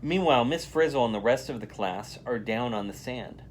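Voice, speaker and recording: A man reading a children's picture book aloud as a volunteer, in an expressive, emphatic way. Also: mid-range voice, moderate pace, a little hiss or hum. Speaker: volunteer read-aloud reader.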